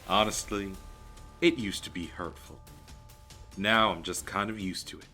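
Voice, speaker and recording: An earnest, guarded male hero played by a man speaks directly in a lowish, bright, forceful voice. It is audio drama acting, measured and serious. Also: steady tone, soft background music. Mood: weary.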